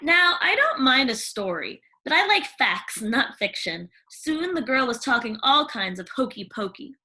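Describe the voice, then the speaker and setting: A woman's voice: high voice, big pitch swings, normal speed, bright, forceful tone, full character voice. A woman playing a vain, impatient stepmother in a children's storybook, prim and exasperated, with false sweetness. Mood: fussy, bossy.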